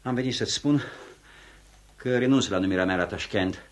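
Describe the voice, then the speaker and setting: An actor playing an ardent, devoted officer in love, reassuring, in old radio theatre style. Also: male voice, lowish voice, close to an everyday voice. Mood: hopeful, resolute. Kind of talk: vintage radio theatre acting.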